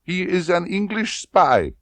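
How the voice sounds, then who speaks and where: A man's voice: high-pitched, big pitch swings, slow pace, no room sound, voice pushed up, full character voice. A man voicing a domineering middle-aged female Soviet colonel in an audiobook, stern and commanding with a coaxing, oily edge. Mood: briskly instructing. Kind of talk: audiobook character voice.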